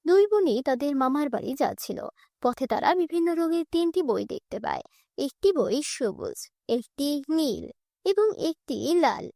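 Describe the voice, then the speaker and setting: A woman's voice: sits high, sing-song, moderate pace, vocal fry, mellow, no echo, studio quality. A woman narrating a scripted cartoon fairy tale as a storyteller.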